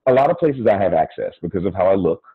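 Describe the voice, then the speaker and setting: Middle-aged American man, guest on a video call; low and husky, dry tinny line, thoughtful with restarts. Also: big pitch swings, quick pace, no echo. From United States. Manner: reflective, many fillers and restarts. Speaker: podcast guest. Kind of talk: casual conversation. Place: small room indoors. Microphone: earphone mic on video call. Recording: phone-like narrow sound, dry.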